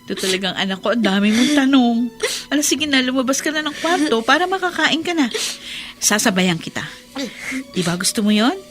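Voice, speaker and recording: A woman playing a protective mother in a Tagalog radio drama, motherly and expressive, with a Filipino accent. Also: fairly high pitch, fairly quick, faint music behind, close to an everyday voice. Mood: gently coaxing. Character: protective, soothing mother of a young child.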